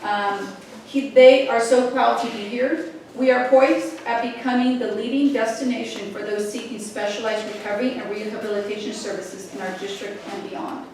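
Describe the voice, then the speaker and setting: Lady giving a report to the board, highish voice, hesitant, super echoey and a bit far from mic. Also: steady tone, moderate pace, a little mellow. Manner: hesitant, often restarting phrases. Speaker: chief executive giving a report. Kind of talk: informal spoken report to a board. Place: indoor board meeting room. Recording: very echoey room, distant mic, faint noise.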